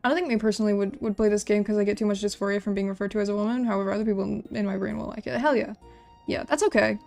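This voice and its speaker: Creaky-voiced woman chatting on a casual stream. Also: mid-range voice, fairly even intonation, racing, darkish tone, faint music behind. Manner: informal, rambling, repeats words and phrases. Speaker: livestreamer drawing and designing game characters. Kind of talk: casual livestream chat while drawing, reading out chat messages.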